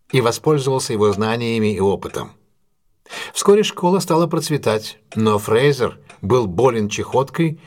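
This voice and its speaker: Casting: male actor for analytical detective in an audio drama, husky voice, lively intonation, emphatic and assured explanations. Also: medium pitch, close to an everyday voice. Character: brilliant male detective recounting a solved case, assured, analytical. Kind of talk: audio drama dialogue, expository monologue.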